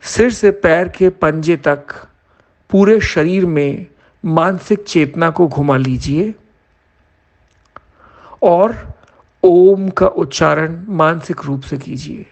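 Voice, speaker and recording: A man leading a guided yoga nidra meditation as an instructor, giving measured instructions in short phrases with pauses. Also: on the high side.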